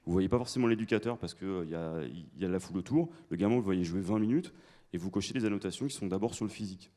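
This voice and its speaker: Panelist, male, low and mellow, measured emphatic delivery in a lecture hall. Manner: measured, emphatic, polls the audience. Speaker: conference panelist. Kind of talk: explanatory lecture-style talk to an audience.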